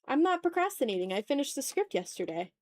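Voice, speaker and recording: A woman with a fairly high voice hosts a casual drawing livestream. She speaks informally and jokingly to her chat, with some mild swearing. The sound is dry, with very little room in it. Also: sing-song, fast, dry recording. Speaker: livestream host, illustrator.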